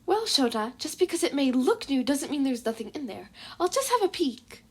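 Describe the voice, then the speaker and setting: A woman's voice: high voice, sing-song, normal speed, darkish tone. A woman voicing a cheerful, scheming little male animal principal in a fan fiction reading, chirpy and politely insistent. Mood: chirpy, eager. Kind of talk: fan fiction audiobook character voice.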